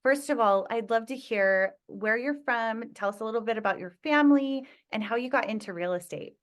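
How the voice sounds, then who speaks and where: A woman's voice: on the high side, brisk. Upbeat woman podcast host. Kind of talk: scripted podcast intro and interview.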